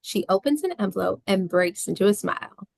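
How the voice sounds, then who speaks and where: A woman's voice: medium pitch, lively intonation, fairly quick, vocal fry, a little mellow, no room sound. A woman reading scripted stage directions as a narrator.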